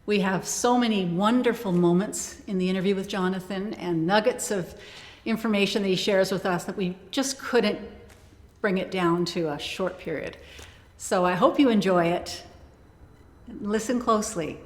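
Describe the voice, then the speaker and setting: A woman introducing a church interview and asking her guest questions in a welcoming, measured way. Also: mid-range voice. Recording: reverberant room with faint music.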